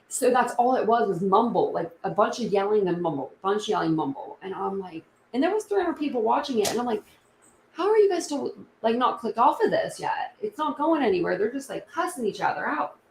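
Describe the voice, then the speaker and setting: A woman's voice: on the high side, big pitch swings, brisk, reverberant, slight background noise, poor audio. A young woman hosting a casual true crime livestream, rambling and chatty with many restarts. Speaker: YouTube true crime channel host.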